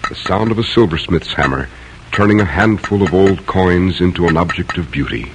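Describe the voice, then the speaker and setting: An actor narrating a 1950s radio history play in a theatrical, deliberate, eloquent way. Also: male voice, sits low, heavy background noise, lightly acted. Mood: dramatic, solemn. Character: solemn, poetic male narrator of a history play.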